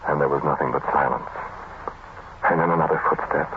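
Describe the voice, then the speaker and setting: A very noisy, tinny old radio recording carries a low-pitched man a haunted scientist. He narrates horror in short, brooding phrases, dramatic and halting, in the manner of a 1940s radio play. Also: steady tone. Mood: hushed, eerie. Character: haunted male scientist recounting terror in an ancient tomb. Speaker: narrator and lead actor.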